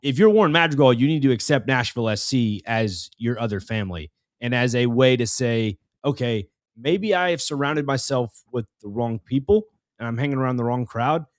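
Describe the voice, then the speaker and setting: A man's voice: mid-range voice, expressive, normal speed, dry recording, studio quality. A man co-hosting a sports talk show, giving conversational commentary in an earnest, explanatory way and often restarting his phrases.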